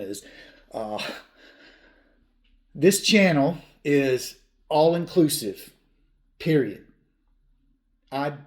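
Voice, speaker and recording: A man in his fifties with a mid-pitched voice talks casually to his viewers as a hobby channel host. He is earnest and plain-spoken, and he repeats points for emphasis. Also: a little mellow. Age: 50s.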